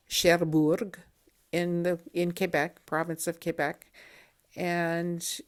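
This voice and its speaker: An older woman in her seventies speaks with a New England accent, her first language French. Her pitch sits on the low side and she takes her time, pausing and thinking between phrases. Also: smooth. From New England, USA. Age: 70s. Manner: unhurried, thoughtful, with pauses and fillers. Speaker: interviewee. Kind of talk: reading aloud then answering interview questions.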